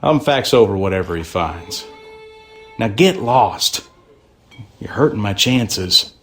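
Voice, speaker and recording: An actor playing a crusty small-town checkers player in a video game, with a Southern American accent and a folksy, grumbling drawl. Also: male voice, fairly low pitch, animated, slow pace, faint music behind. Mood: grudging. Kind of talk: game character dialogue.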